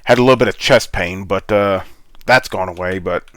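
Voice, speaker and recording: A man's voice: deep voice, moderate pace, a bit bright. A congested man with a sore throat, rambling in a laid-back way as he hosts gameplay commentary over a headset mic. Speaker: gaming channel host.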